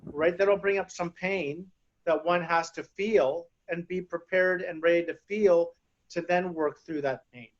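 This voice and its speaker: A man with a notably high voice talks through grounding and chakras over a video call. He hesitates often, with many fillers and restarts. The audio sounds dull and lo-fi. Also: steady tone, a little slow. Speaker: guest speaker on grounding and chakras. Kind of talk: casual explanatory talk over video call.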